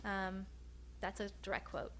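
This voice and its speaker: A woman researcher giving a research presentation, speaking in a measured, hesitant way with frequent pauses. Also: mid-range voice, fairly even intonation. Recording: noticeable background hum and noise.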